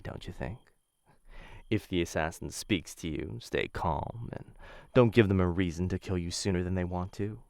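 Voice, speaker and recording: A man playing a menacing, infatuated assassin in a boyfriend ASMR roleplay, speaking low, hushed and intimate into a close microphone, teasing and often slipping into whispers. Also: dry recording. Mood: mocking, calm threat. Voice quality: low, gravelly.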